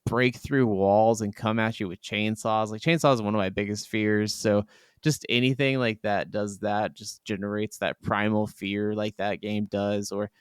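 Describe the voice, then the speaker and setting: A man with a clear, smooth voice, slightly low in the middle range, talks casually as a podcast co-host. He rambles emphatically and swears freely, and the sound is close and dry. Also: steady tone, normal speed, no echo. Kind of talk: casual chat about video games.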